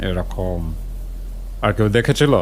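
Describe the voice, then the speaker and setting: A man playing a skeptical, rational friend who questions and argues in a measured way in a horror audio story. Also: on the low side, animated, a little hiss or hum. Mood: curious. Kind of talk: dramatic audio story dialogue.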